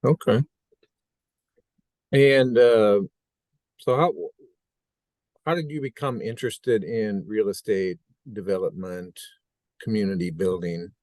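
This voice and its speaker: A man's voice: fairly low pitch, slow pace, pauses often, a little mellow, no echo. A man interviewing a guest, asking brief questions with some hesitation.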